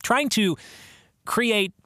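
Slow-paced man with a dry, close sound. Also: high voice, bright, forceful tone, no room sound. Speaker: podcast host, author and minister. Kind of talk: explanatory podcast monologue.

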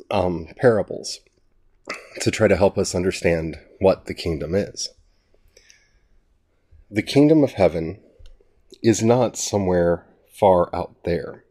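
A man with a cold gives a casual Bible study, his voice gravelly and stuffed-up. He talks slowly and earnestly, and his voice sits very low. He thinks aloud as he goes. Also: a little mellow. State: has a cold, gravelly and nasal. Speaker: writer teaching a Bible study series. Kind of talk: casual spoken teaching.

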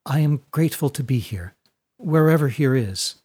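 A man voicing an earnest, sheltered upper-class young woman in a storybook, speaking in a formal, careful, gentle way. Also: mid-range voice, animated, normal speed, slightly rough. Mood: polite, earnest.

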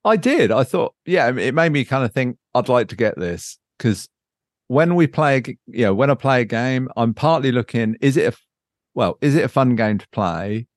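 A man's voice: mid-range voice, animated, normal speed, no echo, studio quality. A man chatting casually and unscripted as a podcast host, thinking aloud and searching for his words. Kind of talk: casual unscripted chat about board games.

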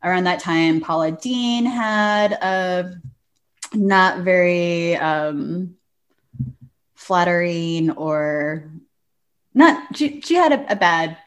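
Woman, slightly low voice, podcast co-host, informal explainer, conversational hedging, casual news chat. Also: extremely slow. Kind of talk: casual book news discussion.

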